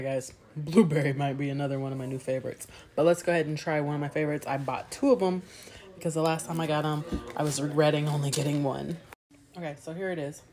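A woman in her thirties talks into a phone held at arm's length in a small room at home. She tastes and describes pastries in a chatty, easygoing way, pausing to chew between remarks. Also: low voice, mellow. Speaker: food vlogger. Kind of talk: casual food review vlog.

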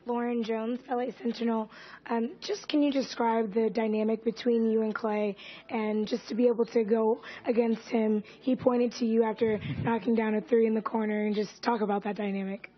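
A female reporter asks her question from the press room floor, matter-of-fact and to the point. Her voice sits higher but stays flat. The audio is dull and muffled. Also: moderate pace. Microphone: handheld mic held out to her.

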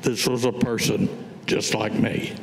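A man's voice: on the low side, steady tone, measured pace. An older man giving a staged reading of a war poem at a podium. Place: indoor meeting room, at a podium. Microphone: gooseneck podium microphone. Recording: echoey room, clear background noise.

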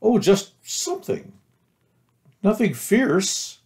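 Naive storybook teddy bear, played by a man: raised pitch, bouncy, muddle-headed, eager, stumbles on big words. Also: wildly swooping pitch, slow pace, darkish tone, heavily acted. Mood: excited. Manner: hesitant, stumbling over long words.

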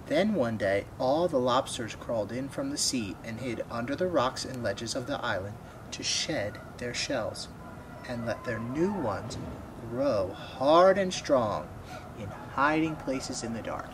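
A young adult man reads a picture book aloud for children in an expressive way, at a medium pitch. He speaks directly to his young listeners between passages. The recording is made outdoors on a camera, with clear background noise. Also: a little slow. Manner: expressive, asks the listener questions. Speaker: storybook reader. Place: outdoors by a wooden fence. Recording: clearly noisy outdoor ambience.

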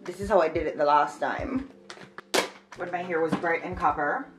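A woman's voice: sits low, moderate pace, loud music behind the voice. A woman in her twenties from Jamaica, chatting casually to camera in a how-to vlog, explaining each step as she works. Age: 20s. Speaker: vlogger. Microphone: camera on a shelf a few feet away.

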